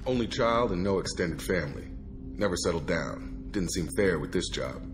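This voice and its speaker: A man's voice: sits low, animated, moderate pace, background noise. An actor playing a blunt, guarded male soldier in a video game, plain-spoken and loyal. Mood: guarded, frank.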